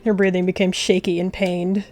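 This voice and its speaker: A woman's voice: on the low side, little pitch movement, normal speed, dark tone. A woman narrating a video game roleplay in story style, with casual asides to viewers. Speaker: gaming video creator.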